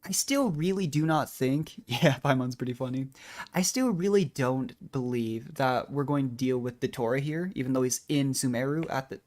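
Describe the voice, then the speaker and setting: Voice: mid-range voice, normal speed, a little mellow. A game streamer giving casual, chatty reactions and commentary on a video game, sounding amused.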